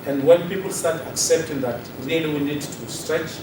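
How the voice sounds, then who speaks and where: A man with a slightly higher voice speaks earnestly to an audience. He is heard from a distance, in a hall with heavy echo. A steady noise sits behind him. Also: normal speed. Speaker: speaker at a public debate. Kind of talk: public speech. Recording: echoey hall from far off, noisy.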